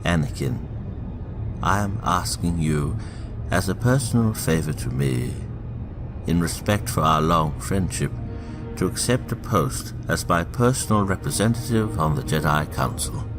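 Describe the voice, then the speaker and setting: A man in a fan audio drama playing an elderly, cunning politician, speaking in a measured, theatrical, insinuating way with a gravelly voice. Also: sits low, expressive. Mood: warm, coaxing. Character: elderly, cunning politician, smooth, avuncular and manipulative. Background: music.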